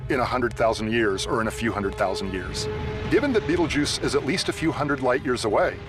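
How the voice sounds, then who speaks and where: A man giving measured, step-by-step explanations as an expert being interviewed. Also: mid-range voice, over music.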